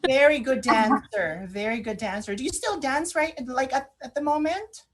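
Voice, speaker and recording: A woman's voice: high voice, moderate pace, a crowd in the background. A woman co-hosting an online radio show in a casual, chatty interview style.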